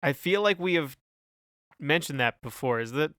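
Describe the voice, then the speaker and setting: A man's voice: on the high side, animated, moderate pace, a bit bright, no room sound. A man co-hosting a podcast in casual discussion, hedging and thinking aloud as he goes. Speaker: podcast co-host. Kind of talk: casual discussion of song lyrics.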